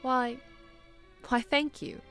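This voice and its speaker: Casting: actress as a kindly, cheerful clergyman's wife, fairly high voice, polite, music faintly behind. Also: fairly even intonation, slow pace, fairly bright tone. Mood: cheerful, affectionate. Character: kindly, gracious bishop's wife, gently cheerful. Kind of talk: film-adaptation audio drama acting.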